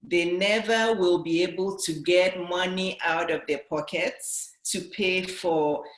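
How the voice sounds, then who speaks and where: A woman's voice: on the low side, fairly even intonation, slow, reverberant, low-quality recording. A woman business coach with a Nigerian and British accent, giving an earnest motivational talk to camera and driving her points home by restating them.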